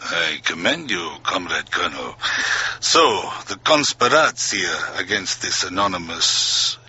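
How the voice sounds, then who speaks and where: Deep, rasping, sinister Soviet general. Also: male voice, very animated, bright, forceful tone, exaggerated voice. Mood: quietly menacing. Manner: deliberate, pausing, ominous. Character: sinister, measured male Soviet general, quietly commanding. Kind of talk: radio drama acting.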